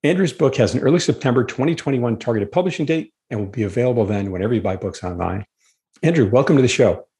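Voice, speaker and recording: A man's voice: medium pitch, expressive, fast, mellow. A man hosting a podcast, reading an upbeat scripted introduction and then chatting with his guest. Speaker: podcast host.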